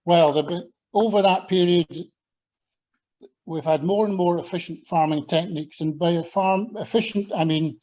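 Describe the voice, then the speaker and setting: An older man from Scotland with a Scottish accent, giving a measured, thoughtful talk to a church audience, often pausing with ums and restarting sentences. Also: a little high, darkish tone, highs cut off. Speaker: church talk speaker, former agriculture lecturer. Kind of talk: presenting a talk with slides.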